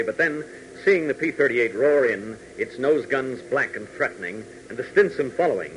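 A man's voice: medium pitch, a bit bright, a little hiss or hum, poor audio. An American man narrating a 1940s radio adventure serial in a dramatic, emphatic announcer style.

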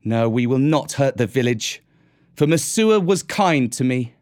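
A man's voice: mid-range voice, lively intonation, a little slow, darkish tone, natural voice. A man voicing a proud, scornful young boy raised by wolves, in archaic, declamatory storybook speech. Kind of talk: storybook character voice.

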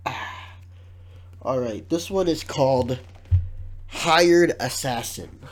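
A man's voice: mid-range voice, takes it slowly. Male podcast host, stuffy and nasal from a cold, jokey casual delivery. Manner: jokey, laughs often, reads questions aloud. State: congested, sick.

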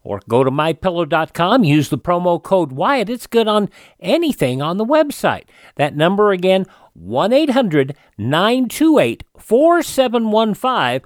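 A male radio host delivers a conversational sales read. His intonation is lively, and the close studio mic gives a very dry sound. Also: high-pitched, measured pace, dry recording.

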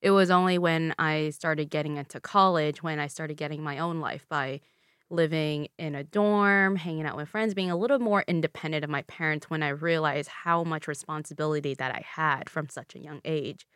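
A woman in her twenties, raised in the American South with Vietnamese as her first language, explaining her family story calmly and steadily in an interview. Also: sits low, normal speed, smooth, no echo, studio quality. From Louisville, Kentucky, USA. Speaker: interview guest. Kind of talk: conversational interview.

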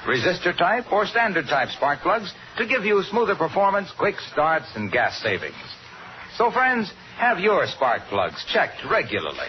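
A man with an American accent playing a chipper, folksy pitchman in a 1950s radio commercial. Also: sing-song, normal speed. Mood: chipper, selling. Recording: muffled vintage radio, faint noise.

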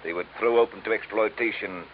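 A man's voice: fairly low pitch, a little fast, close to an everyday voice. An actor playing a pompous, falsely courteous male villain with florid, formal phrasing in an old radio drama. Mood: grandiose, persuasive. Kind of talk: 1950s radio drama acting. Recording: hissy, dull old radio recording.

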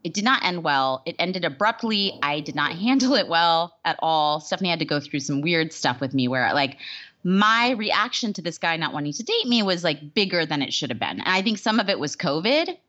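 A woman's voice: low voice, animated, fairly quick, fairly bright tone, dull audio. A woman co-hosting a show in casual, candid, jokey chat. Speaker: podcast co-host.

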